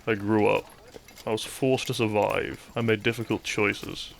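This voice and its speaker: A man playing a bitter, brooding thief in a scripted audio roleplay, speaking straight to the listener with mocking politeness and dramatic pauses. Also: lowish voice, flat delivery, creaky, slight background noise. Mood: dismissive, resentful. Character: bitter young male thief, kidnapper, once a noble's childhood friend.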